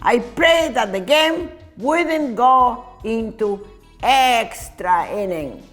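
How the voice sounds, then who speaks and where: Slow, high-voiced woman reading a children's story. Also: animated, fairly bright tone, soft background music. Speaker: storytime reader.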